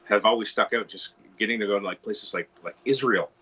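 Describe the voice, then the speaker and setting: A man's voice: lowish voice, brisk, sharp tone, slightly rough. A middle-aged Canadian man from Ontario, chatting as a guitar tech guest in a casual interview, rambling with many restarts and "you know"s.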